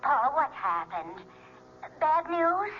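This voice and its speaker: A gossipy, scatterbrained best friend, hesitant and talkative. Her voice sits a little high. The old radio drama recording has a dull, lo-fi sound. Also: sing-song, slow pace, a little hiss or hum, exaggerated voice. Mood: chatty. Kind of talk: 1970s radio drama acting.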